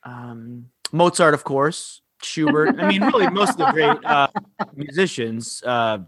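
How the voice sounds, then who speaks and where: A high, bright-voiced man hosts a podcast, talking in an excitable, joking way. His voice sounds close and dry with no room around it. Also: no echo. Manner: excitable, jokey, quick asides. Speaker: podcast host. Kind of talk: casual chat.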